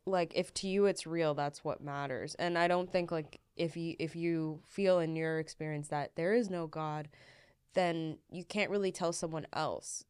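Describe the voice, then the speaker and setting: A woman's voice: deep voice, fairly even intonation, normal speed, a little mellow, clean tone, no echo, clean studio sound. A young adult woman co-hosting and producing a podcast, chatty and casual with lots of "like". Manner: chatty, uses "like" a lot, laughs easily.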